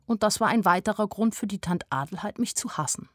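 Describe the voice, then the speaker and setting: A woman's voice: medium pitch, expressive, a little fast, no room sound, clean studio sound, natural voice. An actress playing a weary, frank young woman who narrates her life in a radio play. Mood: matter-of-fact.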